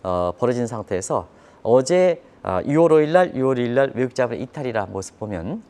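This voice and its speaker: A man delivers economic commentary for a live broadcast. His voice is mellow and relaxed in timbre, yet his intonation is very lively. He explains things emphatically. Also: medium pitch, a little fast. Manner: emphatic, explanatory, poses rhetorical questions.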